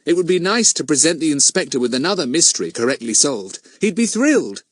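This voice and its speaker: An earnest teenage schoolboy detective, as a male narrator plays him, pitched up high and lively. He is well-spoken, confident and a little cheeky, eager to persuade, in a children's storybook reading with a dry, close sound. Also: fast talker, no echo. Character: earnest, confident teenage boy sleuth, polite but cheeky.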